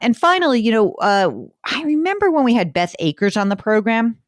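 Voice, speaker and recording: A woman's voice: fairly high pitch, very animated, a little fast, no room sound, clean studio sound. A woman hosting a personal finance show, talking in a chatty, emphatic way. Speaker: money show host. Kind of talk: conversational radio podcast talk.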